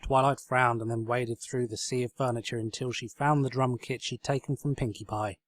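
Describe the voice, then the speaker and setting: A man's voice: mid-range voice, steady tone, normal speed. A man reading scripted comic fan fiction as the narrator. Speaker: story reader.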